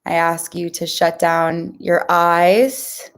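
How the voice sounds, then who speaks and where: Female meditation guide, unhurried and slightly low-pitched, gentle and reassuring, informal instructor tone. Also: flat delivery. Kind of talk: guided mindfulness meditation, addressed to viewers.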